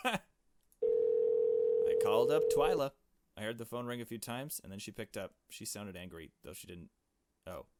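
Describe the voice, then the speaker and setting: A man's voice: fairly low pitch, animated, moderate pace, pauses often, very noisy. A man reading a visual novel aloud and commenting casually in a slangy, informal way. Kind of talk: casual gameplay commentary and narration.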